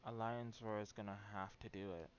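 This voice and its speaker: Male game streamer, low voice, anxious and candid, thinking aloud, casual commentary, slightly muffled sound, background noise. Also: fairly even intonation, moderate pace. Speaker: video game livestreamer.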